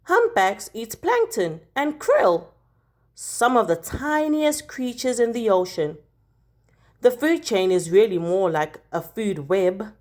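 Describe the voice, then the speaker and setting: A woman reading a children's picture book aloud like a teacher, expressively, with deliberate dramatic emphasis on key words. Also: medium pitch, big pitch swings, a little mellow.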